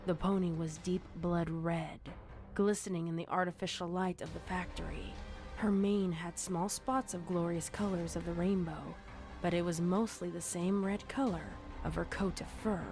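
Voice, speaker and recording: Low-voiced woman narrating a horror story. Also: steady tone, moderate pace. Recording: music under the narration. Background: music.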